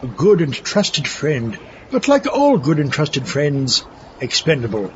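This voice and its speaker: A man's voice: on the high side, wildly swooping pitch, a little slow, darkish tone, lo-fi sound, slight background noise. A man playing a smug, greedy, petty mad scientist in a comedic audio drama, glib and callous in delivery. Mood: smugly callous.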